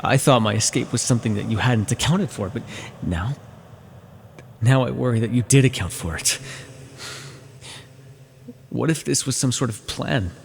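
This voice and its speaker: A man playing an ancient, brooding immortal villain in an intimate fantasy audio roleplay, with a halting, dramatic, gravelly delivery. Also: mid-range voice, slight background noise, lightly acted. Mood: agitated, worried. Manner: slow, halting, dramatic delivery with sighs and pauses. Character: ancient immortal villain, brooding, tormented, tender toward beloved mortal.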